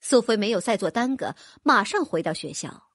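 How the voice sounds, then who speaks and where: A woman narrating a fantasy audiobook with a lively, expressive reading. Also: medium pitch, normal speed, slightly rough, dry recording, studio quality. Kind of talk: scripted narration.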